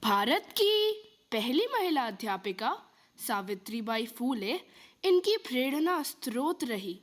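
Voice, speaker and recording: Animated, high-pitched female stage narrator. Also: slow pace. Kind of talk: scripted, dramatic declamation.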